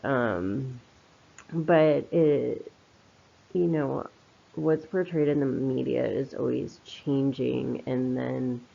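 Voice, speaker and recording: Woman with very creaky, low-fi voice. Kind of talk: informal spoken commentary. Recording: slightly dull, lo-fi voice recording.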